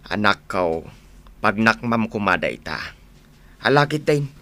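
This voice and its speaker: A man's voice: lowish voice, sing-song, unhurried, fairly bright tone, heavily acted. A man playing a stern older father in a radio drama, with a chiding manner. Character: stern older father scolding his drinking son. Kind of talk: Ilocano radio drama acting.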